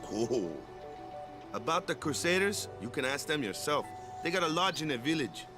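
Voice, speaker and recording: A man's voice: fairly high pitch, big pitch swings, moderate pace. A man voicing an easygoing, humble young island athlete in a video game, with a laid-back, informal drawl. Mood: friendly, reassuring. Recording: game audio with music over it.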